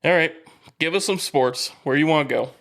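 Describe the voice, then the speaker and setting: A man's voice: on the high side, normal speed, gravelly, a bit bright. A man co-hosting a sports podcast, telling stories in casual, colloquial, laid-back chat.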